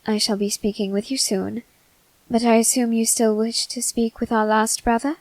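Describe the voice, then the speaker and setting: A woman's voice: fairly high pitch, level tone, normal speed, no echo, natural voice. Woman playing a polite, flirtatious demon servant, dry close sound. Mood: courteous, composed. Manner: formal, courteous, softly teasing. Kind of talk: scripted ASMR roleplay to the listener.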